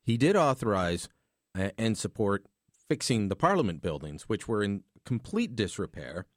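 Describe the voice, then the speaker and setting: A man's voice: lowish voice, sing-song, moderate pace, no room sound, clean studio sound. A man hosting a call-in radio talk show, chatting easily with callers and laughing often.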